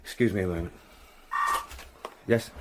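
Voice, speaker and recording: An actor playing a patient, polite and dogged police sergeant in a radio drama. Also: male voice, sits low, a little slow. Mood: firm, formal. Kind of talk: naturalistic radio drama acting. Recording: noticeable background noise.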